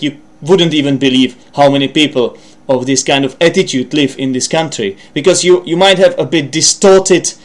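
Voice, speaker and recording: A man with a fairly high voice and a Czech accent presents an informal English lesson for a podcast. He talks in a rambling way, corrects himself as he goes, and slips in asides and little questions to the listener. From Czech Republic. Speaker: language podcast host and teacher.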